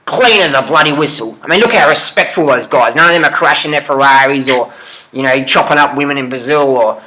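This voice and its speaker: A man's voice: mid-range voice, fairly quick, sharp tone, natural voice, poor audio. A man playing a crude, foul-mouthed Australian bloke in a comic monologue, who rants angrily, swears constantly and rambles through false starts. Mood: ranting. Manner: ranting, heavy swearing, rambling restarts.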